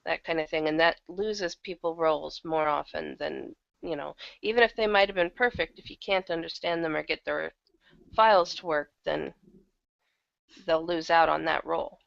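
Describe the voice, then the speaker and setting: A woman talks candidly and at length in a casual interview. Her voice is on the low side and gravelly, as from a slightly thrashed throat. The recording is dull and lo-fi. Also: moderate pace, no echo. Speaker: interview guest, audio drama actor and producer.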